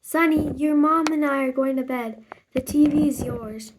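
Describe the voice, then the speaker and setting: A woman voicing an easygoing, watchful father in a storybook, matter-of-fact and gently teasing. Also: high-pitched, moderate pace, noisy background.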